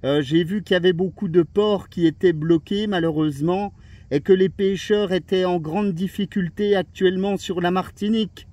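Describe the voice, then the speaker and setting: A man's voice: high voice, fairly even intonation, normal speed, dark tone. A man who works as an amateur radio operator, chatting casually and often repeating his words and phrases. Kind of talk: casual radio contact chat.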